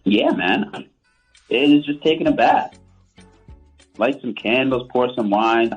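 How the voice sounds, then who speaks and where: A man's voice: medium pitch, takes it slowly, slightly rough, soft background music. A man chatting casually on a phone call in a matter-of-fact, unbothered manner, over a lo-fi line.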